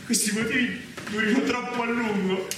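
A man plays a disgraced lieutenant in a naturalistic stage drama, anxious and deferential. His fairly high voice pleads formally and hesitantly. He is heard from a distance in a very reverberant space. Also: steady tone, moderate pace, close to an everyday voice. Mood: anxious, pleading. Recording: very echoey, distant, loud noise.

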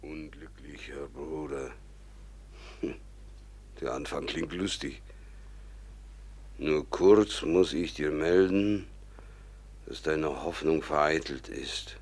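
An actor playing a dry, sceptical comrade in a band of rogues, with deliberate, sardonic delivery in a classical radio play. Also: male voice, a bass voice, a little mellow, husky, heavily acted. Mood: sardonic reading.

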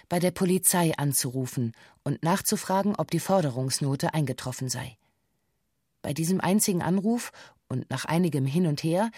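An actress playing a bank employee who gives a sober, formal witness statement in a radio drama, factual and detached. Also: female voice, fairly low pitch, mellow, no echo, studio quality. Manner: factual, detached, precise official phrasing. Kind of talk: scripted documentary radio drama, formal testimony.

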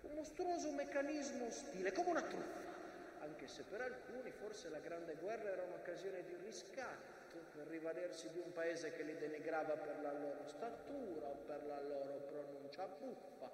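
Distant noisy hall recording, male actor playing proud brooding mountain, high male voice, declamatory theatre monologue, dramatic pauses. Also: lively intonation, close to an everyday voice. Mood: bitter, ironic. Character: Monte San Michele, proud brooding male mountain remembering war. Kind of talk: theatrical stage monologue in rhyming prose. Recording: echoey hall from far off, noisy.

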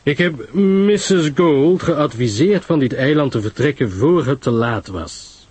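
A grave, courteous detective acted by a man in an audio drama. He speaks formally and with measure, in a very husky voice whose pitch moves expressively. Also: lively intonation, unhurried, darkish tone, no echo. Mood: grave warning.

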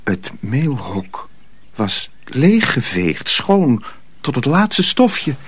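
Male narrator, very lively intonation, scripted radio drama storytelling. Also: medium pitch, extremely slow, a little hiss or hum.

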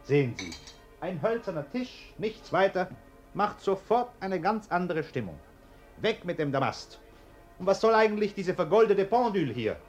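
An actor playing a fussy, nervous well-off householder who gives bossy orders in a German radio play. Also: male voice, high-pitched, sing-song, normal speed, soft background music. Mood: fussy, agitated.

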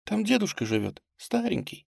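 Man voicing an excitable young girl, pitched high. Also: takes it slowly, no room sound, studio quality, full character voice. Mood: sad, halting. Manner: breathless, childlike, exclamatory. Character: round-faced excitable young girl, frightened and tearful. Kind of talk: audiobook character acting.

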